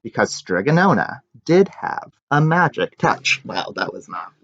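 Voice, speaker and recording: Very animated man reading a picture book. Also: medium pitch, measured pace, gravelly. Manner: expressive storytelling. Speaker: teacher reading aloud. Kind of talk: picture-book read-aloud with casual asides. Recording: muffled, echoey room recording.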